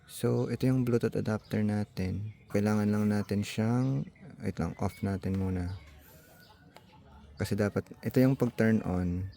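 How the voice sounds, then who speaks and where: A man's voice: lowish voice, steady tone, unhurried, creaky, mellow. A Filipino man giving a casual hands-on gadget walkthrough, thinking aloud tentatively and mixing in English technical words. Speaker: vlogger.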